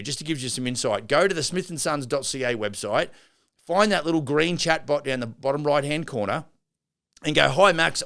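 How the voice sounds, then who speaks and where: A man's voice: fairly high pitch, creaky, a bit bright. A middle-aged man and builder hosting a business podcast, talking off the cuff and rambling through restarts and fillers. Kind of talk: unscripted business podcast monologue.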